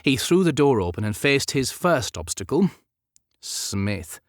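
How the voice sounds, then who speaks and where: A man's voice: medium pitch, sing-song, unhurried, no echo, clean studio sound. A man narrating a period romance audiobook as scripted fiction.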